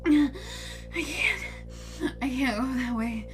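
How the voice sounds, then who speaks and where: A woman playing a terrified, tormented village girl in a horror radio drama, halting and pleading. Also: high-pitched, music in the background.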